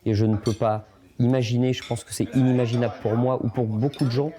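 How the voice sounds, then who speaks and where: A man's voice: medium pitch, fairly even intonation, fast talker, warm tone. A middle-aged man born in Portugal, speaking reflectively in an interview with many hesitations and restarts. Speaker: documentary interviewee.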